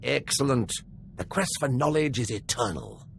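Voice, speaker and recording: An actor playing an eager, chatty archaeologist in a video game, with a fussy, enthusiastic scholarly manner. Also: male voice, medium pitch, expressive, moderate pace, gravelly, slight background noise. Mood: cheerful.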